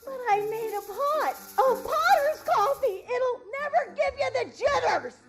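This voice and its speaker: Very high-pitched perky 1930s housewife. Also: female voice, animated, a little slow, over music, heavily acted. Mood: chirpy, eager. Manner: chirpy, singsong. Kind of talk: 1930s radio commercial acting.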